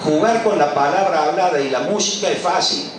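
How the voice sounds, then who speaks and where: A man's voice: on the high side, a little fast. A middle-aged or older Argentine man, an author and professor, giving an informal public speech at a book presentation, with an emphatic manner and rhetorical questions. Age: middle-aged or older, over 50. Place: large colonial patio at a public event. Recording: echoey, distant, noisy live event recording.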